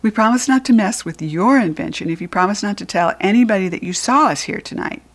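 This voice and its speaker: A woman voicing a cheeky, bold schoolboy prankster in a children's storybook, playful and excitable. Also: mid-range voice, fast talker, natural voice.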